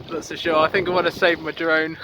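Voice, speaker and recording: A man filming a casual video diary while sailing solo, talking in a halting way full of ums and restarts. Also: a little high. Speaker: solo sailor vlogger. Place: outdoors on a small sailboat at sea. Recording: wind and boat noise. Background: wind, water and boat sounds.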